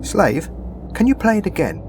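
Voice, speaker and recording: Noisy backdrop, an actor as curious spaceship crew member, lively intonation, medium pitch, sci-fi audio play. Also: moderate pace. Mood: intrigued, concerned. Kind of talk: audio drama acting. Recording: clear noise behind the voice.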